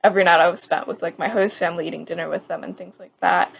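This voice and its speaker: A woman's voice: lowish voice, steady tone, quick pace, creaky, husky. A young American woman chatting casually about studying abroad, with lots of "like" fillers and a laugh now and then. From United States. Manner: chatty, frequent "like" fillers, laughs while talking. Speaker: student. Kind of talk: casual chat.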